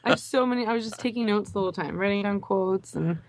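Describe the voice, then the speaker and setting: A woman from Indiana, a musical guest on a radio talk show, gushing excitedly and laughing often into a studio mic. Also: medium pitch, fast talker, no echo. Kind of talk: excited radio interview chat.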